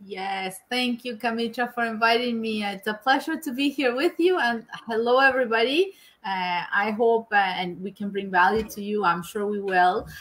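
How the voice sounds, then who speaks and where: Halting woman. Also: fairly high pitch, a bit bright. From Argentina. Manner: hesitant, many repeated words and "you know". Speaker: guest, engineer. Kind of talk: video-call interview. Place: home room indoors. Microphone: video call.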